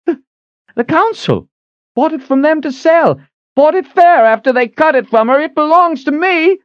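A man's voice: very high voice, animated, moderate pace, no echo, pitched above the performer's own voice. A man voicing a greedy, frantic male street hawker who bellows his wares. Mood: panicked. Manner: bellowing, shouting. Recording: dull lo-fi audio.

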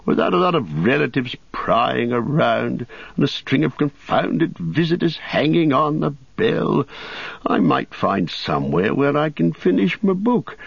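A man's voice: mid-range voice, creaky, slightly rough, dry recording, dull audio, pitched above the performer's own voice, full character voice. A man voicing an old, kindly but prickly and possessive hobbit in an audiobook, with an agitated, defensive manner. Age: older. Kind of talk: audiobook character acting.

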